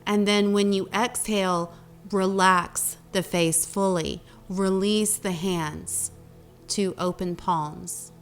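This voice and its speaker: Soft music plays behind a woman guiding a meditation. She speaks very slowly and gently at a medium pitch, leaving long pauses between short phrases as she instructs the listener. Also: fairly even intonation. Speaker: meditation teacher and guide. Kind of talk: guided meditation.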